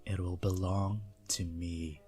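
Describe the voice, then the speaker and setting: A man playing a possessive, seductive vampire prince in a teasing, intimate ASMR roleplay with quiet menace. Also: sits low, level tone, slow pace, faint music behind.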